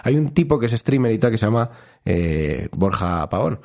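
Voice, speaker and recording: A man's voice: lowish voice, big pitch swings, moderate pace, vocal fry, a little mellow. A man with a Spain Spanish accent co-hosting a casual podcast, telling a rambling, jokey story and laughing often. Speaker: podcast co-host. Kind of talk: casual chat.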